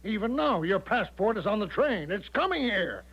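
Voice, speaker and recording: High-voiced, breezy old-time radio con-man major. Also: big pitch swings, normal speed, a bit bright, heavily acted. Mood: breezy, reassuring. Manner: hearty, reassuring, grandly old-fashioned turns of phrase. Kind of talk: 1970s radio drama acting. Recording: dull 1970s radio drama recording.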